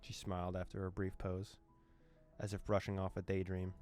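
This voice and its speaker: A man's voice: deep voice, completely flat delivery, moderate pace, a little mellow, faint music behind. A young man reading a visual novel aloud and translating its lines, with playful jokes and frequent laughter. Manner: playful, joking, laughs often. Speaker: gaming YouTuber.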